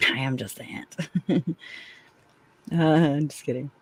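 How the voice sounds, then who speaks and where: A woman's voice: sits low, slow pace, slightly rough, no room sound. A woman co-hosting a live stream in casual, opinionated chat. She swears freely, laughs often and acts out her own reactions.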